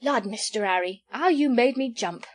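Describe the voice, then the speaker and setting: A woman voicing a cheeky young innkeeper's daughter, with a high, pert voice. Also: lively intonation, normal speed.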